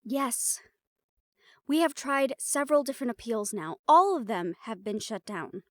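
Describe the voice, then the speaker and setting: A woman's voice: on the high side, animated, a little slow, fairly bright tone, no echo, studio quality. An actress playing a determined, rule-bending woman hunter in a fantasy audio roleplay, speaking insistently and persuasively. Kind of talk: scripted audio roleplay drama. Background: background music.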